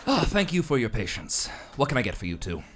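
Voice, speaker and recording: A man's voice: medium pitch, sing-song, fast, a bit bright. A man playing a courteous but nervous, evasive tavern keeper in a fantasy audio drama. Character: polite, flustered, evasive male tavern keeper.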